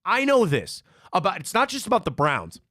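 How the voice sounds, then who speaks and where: Animated, high-pitched Cleveland sports host. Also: male voice, normal speed, no room sound, studio quality. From Cleveland, Ohio. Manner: animated storyteller, repeats himself. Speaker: sports talk show host. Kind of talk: live sports talk show.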